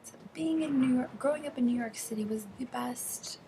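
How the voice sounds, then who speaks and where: A woman working as an interpreter, voicing an interview answer in the first person, phrase by phrase with halting delivery. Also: high-pitched, gravelly, dark tone, a little hiss or hum. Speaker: sign language interpreter voicing a deaf interviewee.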